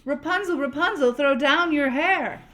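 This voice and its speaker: A woman voicing a lovesick young prince from a storybook, calling out pleadingly in loud, drawn-out phrases. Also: high-pitched, voice pushed up. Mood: hopeful calling. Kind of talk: storybook read-aloud character voice.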